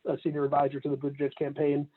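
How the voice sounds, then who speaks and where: Man, about forty, campaign manager, relaxed and chatty, higher voice, thin phone-like sound. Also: rapid-fire. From Canada, raised in Atlanta. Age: 40s. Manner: relaxed, laughs often, many fillers. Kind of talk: casual remote interview.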